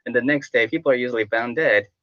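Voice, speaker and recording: A man's voice: mid-range voice, fast, no room sound, muffled recording. A man chatting casually as a talk show panelist, in a joking, teasing manner. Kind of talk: casual chat.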